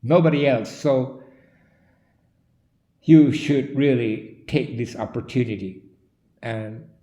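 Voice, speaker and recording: Slow, emphatic Finnish-accented man. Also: mid-range voice, reverberant. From Finland. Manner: slow, deliberate, loud and emphatic. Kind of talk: direct-to-camera monologue.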